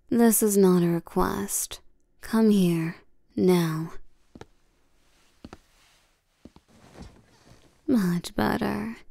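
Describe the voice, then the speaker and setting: Casting: actress as domineering vampire queen for intimate audio roleplay; unhurried, mellow, breathy delivery, teasing and condescending toward humans. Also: mid-range voice, dry recording, studio quality. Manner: slow, teasing, domineering, with terms of endearment.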